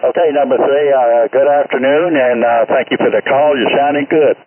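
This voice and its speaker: A man's voice: medium pitch, fairly even intonation, normal speed. A man in his eighties chatting as a CB radio operator in a folksy, boastful way, with broadcast-style catchphrases, over a radio transmission. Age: 80s. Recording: narrow tinny CB radio, static hiss.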